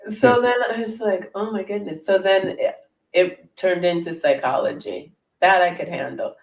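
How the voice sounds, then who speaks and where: Middle-aged woman w a really deep voice, chatty and self-mocking, sounds far from the mic. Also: normal speed, vocal fry, roomy sound. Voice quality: naturally deep voice. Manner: self-deprecating, laughs often. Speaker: guest hypnotherapist. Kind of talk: casual interview chat.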